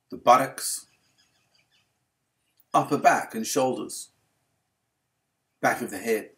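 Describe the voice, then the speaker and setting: A man guiding a relaxation meditation as a yoga nidra instructor, speaking in a calm, soothing, unhurried manner with gentle phrasing. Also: medium pitch.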